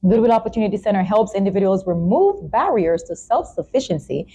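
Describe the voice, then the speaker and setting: Soft mellow lady podcast host, effusive, medium pitch, chatty interviewing. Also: lively intonation, fairly quick, slight background noise. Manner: encouraging, effusive. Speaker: talk show podcast host. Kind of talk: conversational interviewing.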